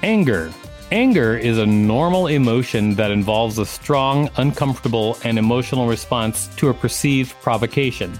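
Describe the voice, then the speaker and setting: A man's voice: mid-range voice, lively intonation, normal speed, faint music behind. A man co-hosting a podcast, reading encyclopedia material aloud with some casual commentary. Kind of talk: scripted narration read aloud, with brief casual commentary.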